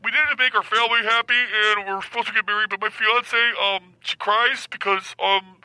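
A man puts on a meek radio call-in listener with a high, very sharp, bright voice. The delivery is halting and earnest, full of hesitation. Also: steady tone, poor audio. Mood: halting, upset. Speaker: caller.

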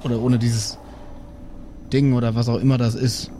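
Man as a powerless superhero, grumbling, hesitant, medium pitch, faint noise. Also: flat delivery, moderate pace, warm tone, close to an everyday voice. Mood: exasperated. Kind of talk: improvised German audio drama role-play.

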